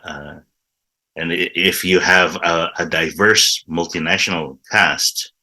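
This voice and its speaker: This is a man with a very low, deep voice that still sounds bright and forceful. He explains eagerly in a casual interview, often restarting his words as he goes. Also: steady tone, slow pace. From Indonesia. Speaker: improv teacher, guest.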